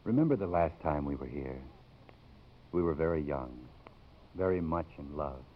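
An actor in a 1950s radio drama, playing a gentle, earnest middle-aged college president, soft and sincere. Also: male voice, rumbling low, lively intonation, moderate pace, slight background noise. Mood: romantic. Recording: muffled old radio recording, background music.

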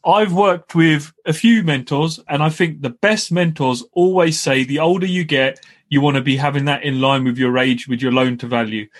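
A man's voice: fairly high pitch, moderate pace. A man co-hosting a podcast, upbeat and matey in casual chat. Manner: upbeat, calls people mate. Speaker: podcast co-host.

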